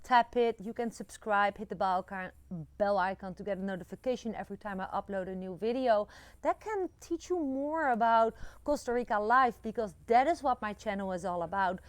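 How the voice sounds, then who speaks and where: A woman's voice: mid-range voice, brisk, dry recording. Dutch woman, 40s, chatty and encouraging vlogger with lots of fillers; phone camera outside, dry close sound. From Netherlands. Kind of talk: casual talk to camera. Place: outdoors in a rural yard. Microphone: phone camera at arm's length.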